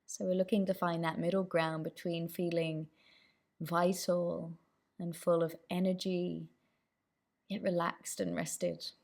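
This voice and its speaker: A woman leading a guided meditation as the instructor, with gentle, inviting instructions. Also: lowish voice, level tone, a little slow, vocal fry.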